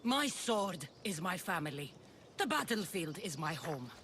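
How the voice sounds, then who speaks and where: An actress playing a bitter, defiant warrior champion in a video game, challenging and intense in manner. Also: female voice, fairly low pitch, very animated, measured pace, fairly bright tone, a little hiss or hum. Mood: cold bitterness. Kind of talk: dramatic video game voice acting.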